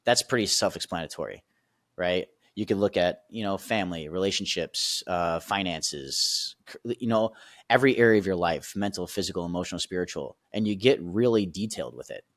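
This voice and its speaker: A man's voice: low-pitched, lively intonation, fairly quick, fairly bright tone, no echo. A man, a sales and performance coach on a podcast, explaining steps with energy and often checking in with "right?" and "okay?". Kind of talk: casual teaching explanation.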